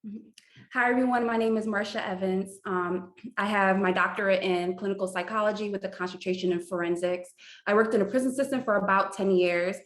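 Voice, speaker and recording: A woman introducing herself as a coach on a panel, relaxed and casual. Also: mid-range voice, brisk. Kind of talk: self-introduction on an online panel. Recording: echoey room.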